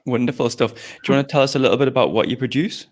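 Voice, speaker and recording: A man's voice: medium pitch, very fast. A man hosting a live online showcase, interviewing guests in an informal, encouraging and teasing way, often rephrasing his questions. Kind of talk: informal, upbeat event interview.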